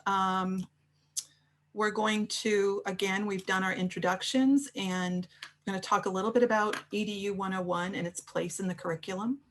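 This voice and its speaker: A woman's voice: medium pitch, steady tone, normal speed, a little hiss or hum. A woman education professor giving an online class over a video call, chatty and friendly, with many fillers.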